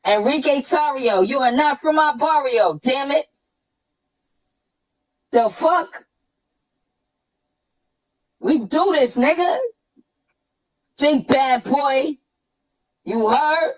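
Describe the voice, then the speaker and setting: A man with a New York accent ranting and trash-talking through a pitch-shifted chipmunk voice effect, cocky and profane. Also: very slow, pauses often, low-quality recording. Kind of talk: brash trash-talk rant.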